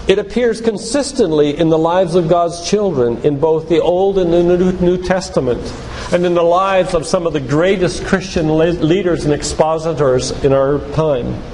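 A man's voice: fairly high pitch, darkish tone. An older man giving a sermon-like lecture, retelling Bible stories in a didactic way and addressing listeners directly. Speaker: physician giving a guest talk at a church. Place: large room, church. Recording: reverberant hall with clear background noise.

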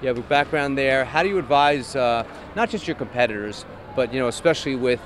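A man's voice: medium pitch, a little fast, fairly bright tone, a little hiss or hum. Upbeat male expo host. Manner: upbeat, enthusiastic, with many restarts. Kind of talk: casual interview at an expo. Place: large indoor expo hall, in front of a backdrop. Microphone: handheld microphone.

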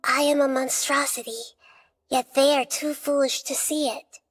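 Woman voicing a high, girlish, gentle child deity. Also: fairly even intonation, close to an everyday voice. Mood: solemn storytelling. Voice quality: soft, girlish. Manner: measured, thoughtful, articulate. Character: small childlike female deity, gentle, wise, composed. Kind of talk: video game dialogue and fairy-tale narration. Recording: music under the voice. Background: music.

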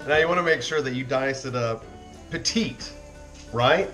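A man's voice: medium pitch, moderate pace, a bit bright, faint music behind. A man in his forties hosting a playful home cooking segment in his kitchen, chatty with joking asides, filmed by a counter camera. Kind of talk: upbeat home cooking demo. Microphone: wide camera on the counter.

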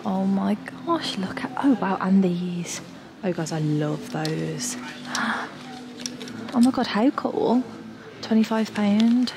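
A woman, chatting excitedly in a casual shopping vlog, filmed on a handheld phone inside big stores. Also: medium pitch, slow, background noise. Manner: gushing, upbeat, lots of "like". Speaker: vlogger. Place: large indoor shopping stores. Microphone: handheld phone at arm's length. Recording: loud store ambience behind voice.

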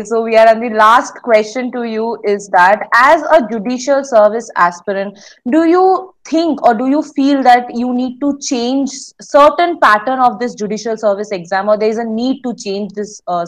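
A young woman with a slightly high voice leads an interview over a video call. She asks her questions politely and formally. She is speaking from a small room at home. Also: normal speed, low-quality recording. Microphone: laptop webcam on video call.

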